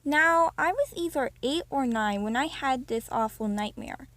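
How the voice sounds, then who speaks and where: A woman's voice: sits high, lively intonation, unhurried, fairly bright tone, no room sound. A young woman telling a story to her channel casually, rambling and breaking off with fillers. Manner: rambling, self-interrupting with fillers. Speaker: YouTuber.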